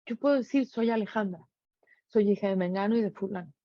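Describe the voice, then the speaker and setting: A woman's voice: medium pitch, fairly quick. Woman, Mexican accent, middle-aged, reflective monologue, rhetorical questions, dull lo-fi sound. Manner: earnest, poses many rhetorical questions. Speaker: speaker sharing reflections.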